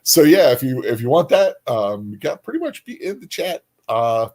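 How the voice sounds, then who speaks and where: A man chatting casually as a livestream co-host, speaking in halting, repetitive phrases that often trail off. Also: medium pitch, sing-song, a little mellow. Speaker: co-host of a book discussion stream.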